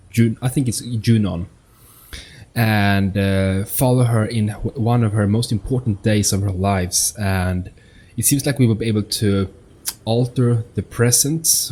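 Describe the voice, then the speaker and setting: Low-voiced man, casual gaming commentary. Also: darkish tone, breathy edge. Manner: hesitant, repeats words, thinks aloud. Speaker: gaming YouTuber. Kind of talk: casual let's-play commentary.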